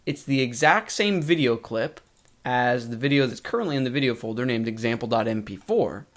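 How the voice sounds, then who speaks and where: A man narrating a scripted tutorial as a course instructor, measured and clearly enunciated. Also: mid-range voice, expressive. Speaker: web design course instructor.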